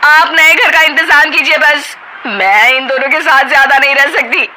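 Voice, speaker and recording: A woman voicing a scheming, bossy young sister-in-law who taunts and orders others about in a shrill voice. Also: animated, moderate pace, sharp tone, slight background noise, exaggerated voice. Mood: demanding.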